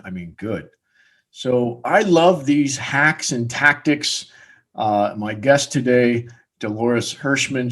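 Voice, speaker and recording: A man's voice: mid-range voice, husky. A man hosting a podcast interview, asking long questions that ramble and restart. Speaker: podcast host. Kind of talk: casual interview.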